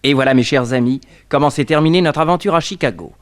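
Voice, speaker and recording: An actor playing an earnest detective's assistant who narrates a French radio serial in a theatrical way. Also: male voice, a little high, quick pace, natural voice. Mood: composed storytelling. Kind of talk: dramatic first-person radio narration, 1960s. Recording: slightly noisy old radio recording.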